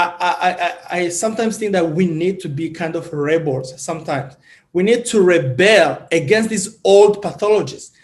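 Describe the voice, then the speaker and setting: A man from Rwanda who hosts an interview and speaks thoughtfully, often halting and repeating words. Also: high-pitched. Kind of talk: interview conversation.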